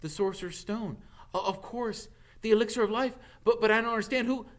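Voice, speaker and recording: A man voicing an anxious, curious young schoolboy in a storybook, speaking in urgent, halting, questioning phrases. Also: high voice, voice pushed up, lightly acted. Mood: puzzled, urgent. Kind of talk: dramatic audiobook character reading.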